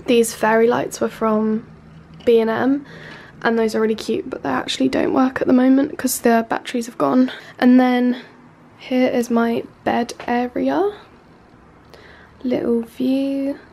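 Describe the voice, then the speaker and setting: Nasal, congested Englishwoman, twenties, gravelly and fairly flat, casual vlog chatter on a camera mic. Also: a little high. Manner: chatty, filler-heavy, loose and unhurried. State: nasal from a cold. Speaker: vlogger. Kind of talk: casual room tour chat. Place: small student bedroom, indoors. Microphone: handheld camera mic.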